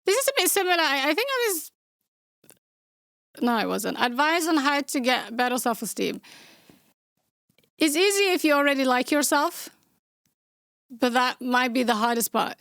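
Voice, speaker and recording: A young adult woman from Somalia with a Somali accent, hosting a casual podcast Q&A and answering viewer questions in a blunt, outspoken way with sarcastic deadpan asides. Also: high voice, normal speed, long pauses, no room sound, studio quality. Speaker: podcast host.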